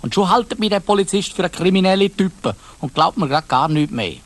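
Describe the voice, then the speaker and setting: A man's voice: high-pitched, lively intonation, fast. A man with a Swiss German accent narrating a dramatised children's story, addressing young listeners directly.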